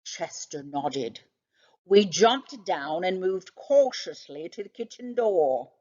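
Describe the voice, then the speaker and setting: A woman reading a children's book aloud as an expressive storyteller, with dramatic, deliberate phrasing. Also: lowish voice, lo-fi sound.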